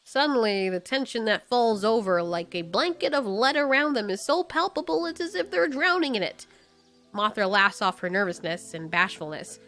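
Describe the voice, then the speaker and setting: A woman storyteller reads scripted fiction. Her voice sits fairly high and moves through a very animated range as she narrates expressively. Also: moderate pace, faint music behind.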